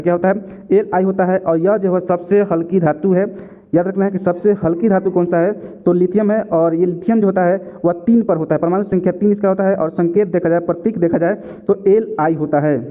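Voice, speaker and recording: High-pitched male teacher, phone-like sound. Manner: didactic, repeats key facts as reminders. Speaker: exam-prep chemistry teacher. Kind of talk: question-and-answer lesson narration. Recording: narrow, tinny phone-like sound.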